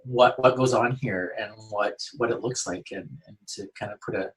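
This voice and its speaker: A man's voice: mid-range voice, big pitch swings, moderate pace, vocal fry, fairly bright tone, poor audio. A man speaking earnestly in a casual interview as a youth outreach worker, often restarting his sentences. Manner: earnest, full of restarts and "you know".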